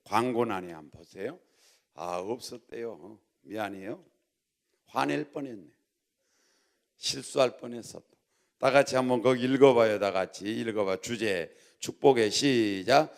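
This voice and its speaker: A male pastor preaching a church sermon in a gravelly voice, exhorting and repeating himself as he prompts the congregation to respond. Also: mid-range voice, measured pace.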